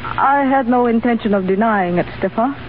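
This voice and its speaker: An actress playing an earnest young Russian woman in a 1930s radio drama, speaking softly and formally. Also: on the high side, normal speed, natural voice. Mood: tender. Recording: tinny hissy 1930s radio broadcast.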